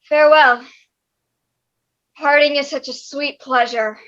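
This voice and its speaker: A woman's voice: sits high, fairly even intonation, slow pace, fairly bright tone, highs cut off. A woman playing a bitter, sarcastic young wife in a comic staged reading, with melodramatic exasperation. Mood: dramatic farewell.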